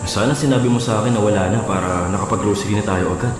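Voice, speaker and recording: A man's voice: lowish voice, very fast, lightly acted. A man playing an immature, defensive young husband who argues in a whiny way in a radio drama. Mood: puzzled, irritated. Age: young adult. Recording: very echoey, reverberant, music behind.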